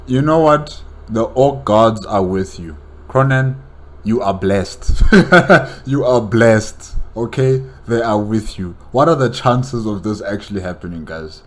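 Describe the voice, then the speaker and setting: A man casually reacting to a story for his viewers, excitable, laughing often and tripping over his words. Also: lowish voice, takes it slowly. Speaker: reaction video host.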